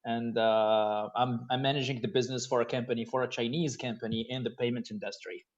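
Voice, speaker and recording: A man's voice: mid-range voice, steady tone, brisk. A man from Morocco, a payments business manager, speaking as a guest on a video call, hesitant and repeating words. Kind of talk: casual remarks on a video call. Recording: dull lo-fi call audio.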